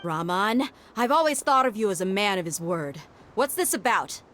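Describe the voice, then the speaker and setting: An actress plays an unflappable young mercenary for a video game. She has a mid-pitched voice and a cool, wry, offhand way of talking, with faint noise in the background. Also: expressive, normal speed, close to an everyday voice. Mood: firm, challenging. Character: tough, sardonic mercenary woman, young and unflappable.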